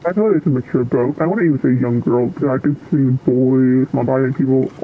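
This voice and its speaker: A teenage guest answering interview questions hesitantly, with many ums and likes. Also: male voice, mid-range voice, fast. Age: teens. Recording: tinny phone-like line with faint noise.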